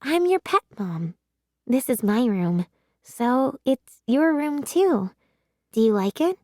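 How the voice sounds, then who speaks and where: A woman voicing an eager, affectionate young girl in a children's story, sweet, excitable and earnest. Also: a little high, slow pace, dry recording, clean studio sound. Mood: tender, excited. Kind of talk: children's audiobook read-aloud.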